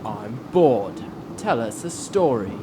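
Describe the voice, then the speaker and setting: A man's voice: medium pitch, big pitch swings, slow, background noise. A man playing a grumpy, boastful brigand chief who orders people about in an audio drama. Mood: bored, irritable. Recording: music bed behind the voice.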